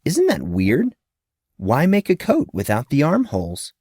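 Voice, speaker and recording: A man's voice: mid-range voice, wildly swooping pitch, a little slow, darkish tone, breathy edge, no echo, studio quality, exaggerated voice. A man voicing a curious, chatty little girl in a storybook, sweet and wondering. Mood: puzzled.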